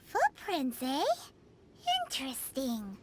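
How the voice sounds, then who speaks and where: Tiny flying game sidekick, extremely high squeaky childlike voice, wildly swooping intonation, perky, cheeky. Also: female voice, big pitch swings, takes it slowly, heavy background noise, full character voice. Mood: investigating. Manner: cutesy, speaks of herself in third person. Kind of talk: English video game voice acting. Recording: music behind the dialogue.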